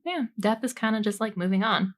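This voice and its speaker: A woman's voice: mid-range voice, a little fast, slight background noise. A woman co-hosting a podcast, explaining tarot cards in an informal, jokey, giggly way that moves between reading notes and casual chat. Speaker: podcast co-host.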